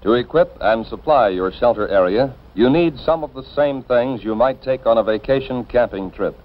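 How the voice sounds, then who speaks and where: An American man reading scripted 1950s instructional film narration in a measured, formal, instructive manner. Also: mid-range voice. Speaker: narrator of a civil defense educational film. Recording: dull, band-limited 1950s film soundtrack.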